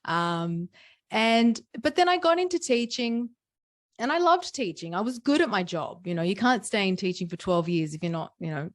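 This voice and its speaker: A woman in her forties with an Australian accent, a podcast guest talking about her background in a relaxed, storytelling way with frequent fillers. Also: mid-range voice, moderate pace. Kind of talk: conversational interview.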